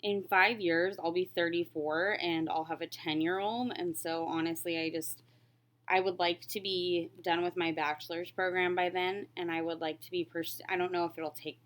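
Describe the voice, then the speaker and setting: A woman's voice: fairly low pitch, steady tone, normal speed, fairly bright tone. A woman in her late twenties chatting casually and candidly as a crafting vlogger, rambling a little as she answers questions about herself. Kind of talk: casual chat, answering questions while stitching.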